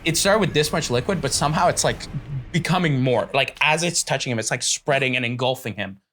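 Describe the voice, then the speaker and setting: A man acting as game master, improvising vivid, animated narration for a tabletop game. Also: medium pitch, brisk, slight background noise.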